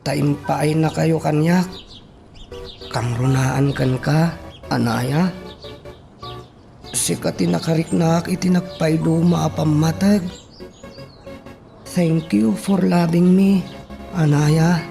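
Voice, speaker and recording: An earnest, sentimental young son in an Ilocano radio drama. His voice sits fairly high for a male and moves slowly, with a gravelly edge. Soft music plays underneath. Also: fairly even intonation. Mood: tender, grateful.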